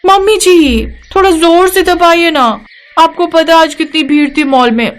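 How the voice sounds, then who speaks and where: Female narrator acting spoiled rich young daughter-in-law, very high voice, whiny, entitled, cartoon story. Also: measured pace. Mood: entitled, demanding.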